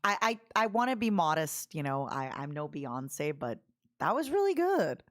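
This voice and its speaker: A Ukrainian-born woman streamer speaks with a Russian accent in a fairly low voice. Her pitch swings widely, often jumping up into squeals and giggles. She is self-deprecating and chatty in a casual stream. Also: big pitch swings, normal speed.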